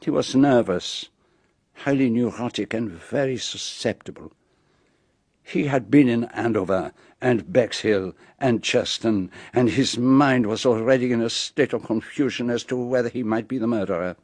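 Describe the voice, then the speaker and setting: An actor playing a brilliant, self-assured foreign detective with a French accent, explaining precisely and theatrically in a radio drama. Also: male voice, mid-range voice, normal speed, darkish tone, no echo, natural voice. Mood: firm, certain. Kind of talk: BBC radio drama acting.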